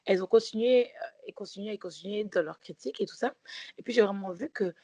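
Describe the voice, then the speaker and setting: A woman's voice: medium pitch, fast talker, mellow, dry recording. A woman co-hosting a podcast, telling a story in casual chat with many restarts and fillers. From Belgium. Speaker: podcast co-host.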